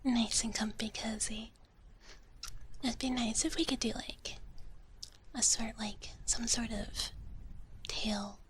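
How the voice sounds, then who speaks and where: Female ASMR streamer, gentle close-mic whisper, breathy and caring, slightly high voice. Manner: refers to self in third person. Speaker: live streamer. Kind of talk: whispered ASMR, chatting with viewers. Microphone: close ASMR microphone.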